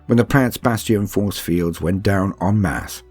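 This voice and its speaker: A man with a very low, dark voice narrates from a book in a calm, measured reading. His delivery is soothing and steady, with a husky edge, over music. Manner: measured, soothing, unhurried storytelling.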